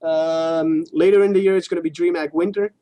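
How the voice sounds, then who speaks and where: A man's voice: on the high side, fairly even intonation, fairly quick, mellow. A man co-hosting a gaming talk show, explaining news in a casual, informal way.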